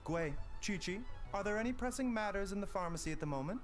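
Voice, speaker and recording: A man playing a gentle, courteous doctor in a video game, speaking politely and formally. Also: sits high, lively intonation, quick pace. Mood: calm, inquiring. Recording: game music underneath.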